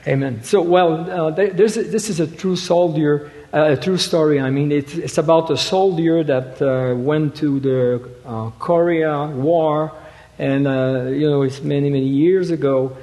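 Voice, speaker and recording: A male preacher giving a sermon, halting and full of fillers, with a habit of saying "you see". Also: fairly high pitch, warm tone. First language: French. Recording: long hall reverb.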